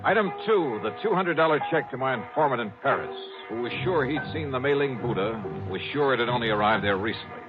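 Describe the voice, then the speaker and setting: An actor playing a brisk, wry American insurance investigator, in dialogue and first-person narration for an old radio drama. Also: male voice, medium pitch, animated, lightly acted. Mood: matter-of-fact. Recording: narrow old radio recording with music.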